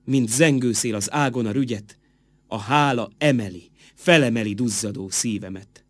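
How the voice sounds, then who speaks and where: A man reciting poetry in an expressive, solemn, measured manner with drawn-out phrases. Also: mid-range voice, no room sound.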